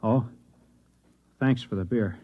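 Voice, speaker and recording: An actor playing a distraught, gloomy man who claims to be the last Martian, halting and repeating himself in old-time radio drama style. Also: on the low side, breathy edge. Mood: gloomy, wary. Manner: haunted, halting, repeating phrases, sighing. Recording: muffled vintage radio recording.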